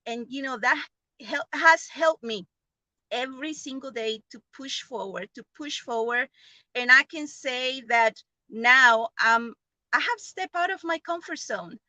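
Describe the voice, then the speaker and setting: A woman with a non-native English accent gives an informal personal testimonial in an earnest, halting way, often repeating phrases. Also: high-pitched, sharp tone, dry recording, poor audio. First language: not English. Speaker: online business member giving a testimonial.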